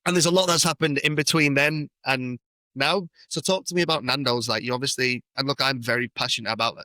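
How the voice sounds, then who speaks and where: A man's voice: mid-range voice, fairly even intonation, a little fast, bright, forceful tone, no echo. A man with a British accent hosting a podcast in a casual, matey interview style. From United Kingdom. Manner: matey, informal banter. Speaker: podcast host.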